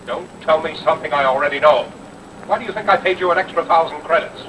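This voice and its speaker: A man's voice: a little high, fast talker, fairly bright tone, close to an everyday voice. An actor playing an arrogant, impatient rich businessman in an old-time radio drama, curt and pompous. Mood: impatient, haughty. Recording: noisy vintage radio recording, engine drone.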